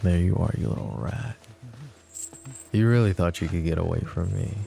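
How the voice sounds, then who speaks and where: Man acting as menacing mafia boss, deep, mellow, slow mocking threats, audio drama. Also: big pitch swings, exaggerated voice. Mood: taunting. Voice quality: soft, smooth and taunting. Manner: mocking, slow and threatening, with sweet pet names. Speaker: voice actor. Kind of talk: roleplay ASMR audio drama.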